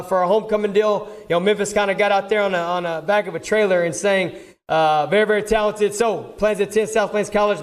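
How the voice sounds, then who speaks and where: A man speaking as a coach at an award ceremony, informally reading out a roster to the audience. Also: high-pitched. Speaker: football coach presenting awards. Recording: live event, crowd noise, clear background noise.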